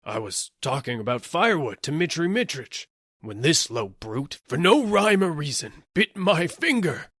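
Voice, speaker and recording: An indignant working man acted by a male narrator pleads his case in a storybook. His voice is pushed high and swings widely as he whines and wheedles. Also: big pitch swings, moderate pace, a bit bright, no room sound, clean studio sound. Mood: aggrieved, pleading. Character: aggrieved, whining male workman seeking damages. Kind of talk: audiobook character acting.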